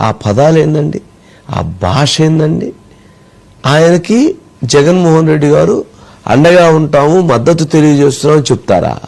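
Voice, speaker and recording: A man giving political commentary in a deliberate, emphatic way, putting rhetorical questions. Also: on the high side, vocal fry, a little mellow.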